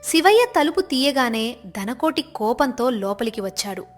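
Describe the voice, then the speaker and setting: A woman's voice: fairly high pitch, sing-song, moderate pace, faint music behind. A woman narrator reading a scripted moral tale as storytelling narration.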